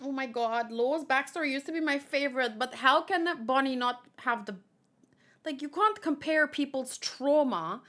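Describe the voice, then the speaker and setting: High-voiced, gushing woman reacting as she reads. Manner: gushing, emotionally expressive, gasping. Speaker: manga reader reacting on video. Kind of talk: read-along reaction with dramatic read-outs.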